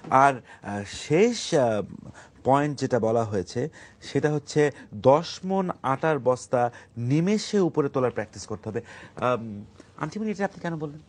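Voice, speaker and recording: Casting: male comedy host, Bangladeshi accent, husky medium voice, polite deadpan interviewing with some hesitation. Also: medium pitch, a little slow. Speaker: talk show host. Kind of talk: mock-serious comedy interview.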